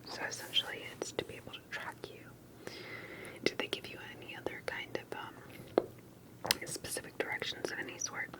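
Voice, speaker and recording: Whispering woman in an ASMR interview roleplay. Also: low-quality recording. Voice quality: breathy, unvoiced whisper. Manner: gentle, hesitant, unhurried questioning with soft pauses. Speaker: ASMR performer playing an interviewer.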